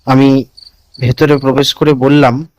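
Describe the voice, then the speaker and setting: A man's voice: mid-range voice, level tone, measured pace, darkish tone, muffled recording. A man narrating a scripted first-person story for a cartoon as its storyteller.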